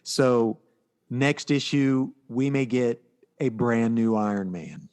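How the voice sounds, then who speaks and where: A man's voice: medium pitch, slow, darkish tone, clear, resonant tone. A man co-hosting a podcast, recapping things in a casual, explanatory and informal way. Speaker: podcast co-host. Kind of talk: casual chat, recapping comic books.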